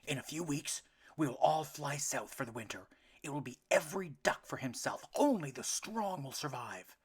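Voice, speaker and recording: A woman voicing a gruff, stern duck father who bellows and blusters, read as a storybook. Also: low-pitched, huge pitch swings, slow, bright, forceful tone, voice pushed up, exaggerated voice. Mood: angry.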